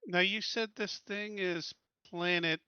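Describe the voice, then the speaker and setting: Very bright, sharp-voiced man, lo-fi audio. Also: high voice, steady tone, slow pace, clear, resonant tone, no echo. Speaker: tabletop game player. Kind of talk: casual game-table chat.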